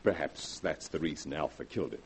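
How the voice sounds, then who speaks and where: An actor playing a calm, scheming male scientist in a 1940s radio drama, speaking in a measured, persuasive way. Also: a bass voice, slight background noise.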